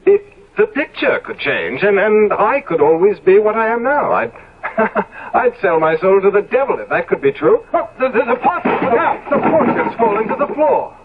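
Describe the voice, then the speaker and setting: Vain, evasive young gentleman for old radio drama: highish, very animated, halting delivery. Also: a little hiss or hum. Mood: agitated, yearning. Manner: stammering, hesitant. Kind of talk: 1970s radio drama acting. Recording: dull lo-fi old radio broadcast.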